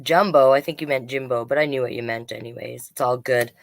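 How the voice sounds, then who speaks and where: A woman's voice: a bass voice, steady tone, brisk, gravelly. A woman hosting a children's storytime livestream, chatting casually and reading aloud in a playful, rambling way.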